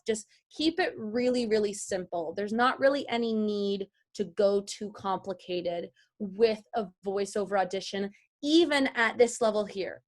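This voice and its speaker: A woman giving a chatty, encouraging, informal lesson as a voice actor teaching an online class over a video call from a home office. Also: mid-range voice. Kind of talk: casual instructional talk. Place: at home, large office with wooden walls. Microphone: computer video call.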